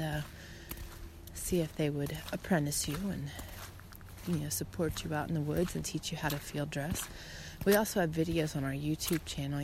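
Woman, low voice, casual solo podcast host, earnest, encouraging, pausing phrasing, noisy recording. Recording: clearly noisy background, some clicks.

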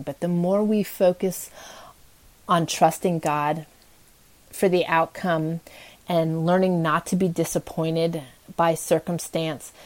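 Female podcast host with a low register; earnest, encouraging, informal motivational delivery. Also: darkish tone. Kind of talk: casual motivational podcast talk.

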